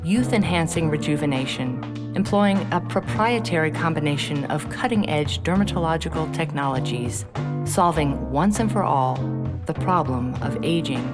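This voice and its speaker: A woman's voice: low-pitched, expressive, normal speed. A woman playing a smug middle-aged propaganda podcast host in audio fiction, with a polished, insinuating presenter's delivery. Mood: promotional. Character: smug fifty-year-old female propaganda true-crime podcast host. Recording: loud guitar music behind voice. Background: guitar music.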